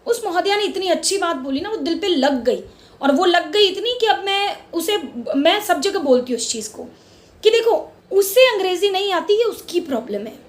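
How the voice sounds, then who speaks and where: High-voiced passionate Indian woman vlogger. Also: expressive, normal speed. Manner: passionate, repeats words for emphasis, mixes English into Hindi. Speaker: channel host. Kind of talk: casual opinion vlog. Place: lives in Mumbai.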